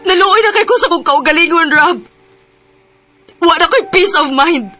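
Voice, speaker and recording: A woman's voice: extremely high pitch, animated, normal speed, sharp tone, faint music behind, exaggerated voice. A hurt young girlfriend. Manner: emotional, pleading. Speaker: radio drama actress.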